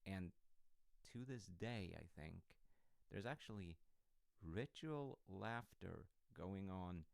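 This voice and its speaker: A man performs an affectionate storytelling dad in an intimate comfort audio roleplay. His deep voice moves slowly and haltingly, musing gently as he reassures his grown child. The sound is close and dry. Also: expressive, creaky, no echo, full character voice. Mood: musing, gentle.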